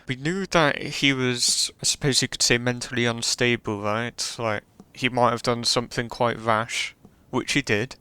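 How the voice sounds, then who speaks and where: A man's voice: medium pitch, bright, forceful tone, clean tone, no room sound. A man giving casual gameplay commentary in a wry, rambling way. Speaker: gamer commentator.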